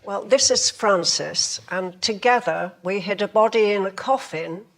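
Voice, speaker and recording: A woman telling a comic story in a deliberate way as a guest on a panel show. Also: mid-range voice, creaky.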